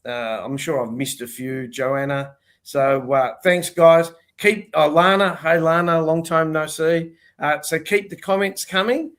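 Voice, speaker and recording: A man's voice: a little high, fairly even intonation, a little slow, fairly bright tone. An older man hosting a casual panel show over a video call, sounding nasal and throaty from a cold, chatty and jokey. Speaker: host.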